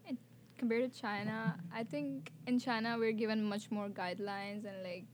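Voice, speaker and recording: A young woman from Pakistan, a student guest on a college radio show, speaking in a relaxed, thoughtful way with many filler words. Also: a little high, moderate pace, a little hiss or hum. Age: young adult. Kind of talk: casual radio interview. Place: radio studio.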